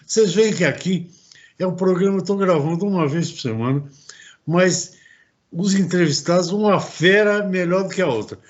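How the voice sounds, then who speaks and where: A man's voice: high-pitched, moderate pace, dull audio. A man hosting an interview show, chatting in a rambling way with many restarts. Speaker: host. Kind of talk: casual interview talk.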